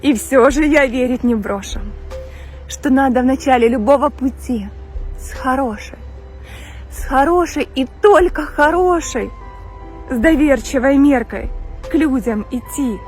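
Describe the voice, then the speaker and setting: A woman reciting a poem in a measured, lyrical way. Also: high-pitched. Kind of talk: poetry recitation. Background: soft music.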